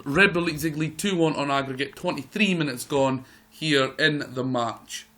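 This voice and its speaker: A man with a croaky voice giving excitable live play-by-play commentary over a football management game. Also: on the high side, normal speed. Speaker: gaming video host.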